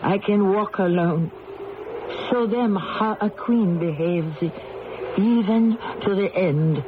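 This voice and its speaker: A woman's voice: mid-range voice, slow pace, background noise, lightly acted. An actress playing a proud, grieving French queen condemned to death, solemn and theatrical in an old-time radio drama. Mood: proud, resolute. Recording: dull band-limited old radio recording, music behind.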